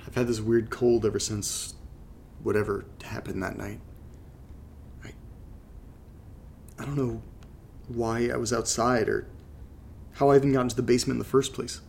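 A man's voice: mid-range voice, flat delivery, moderate pace, vocal fry, long pauses, darkish tone, a little hiss or hum, close to an everyday voice. An actor playing a confused man recording a casual confessional audio diary, hesitant and trailing off, with a cold. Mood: puzzled, uneasy. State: getting over a cold. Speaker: narrator of a horror audio drama. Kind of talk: casual first-person found-audio monologue.

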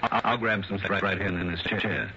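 A man's voice: deep voice, normal speed, natural voice. An actor playing a shrewd, wary private investigator in a 1960s radio drama, narrating his case in a clipped, world-weary way. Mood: guarded, polite. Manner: clipped, world-weary first-person telling. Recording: dull old radio broadcast, music behind.

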